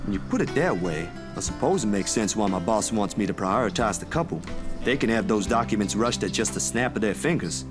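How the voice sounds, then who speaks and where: A man's voice: lowish voice, lively intonation, a little fast, lightly acted. A man voicing a friendly, easygoing young man in a visual novel, playful and modest. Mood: thoughtful. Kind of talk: visual novel dialogue read aloud. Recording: music playing behind the voice.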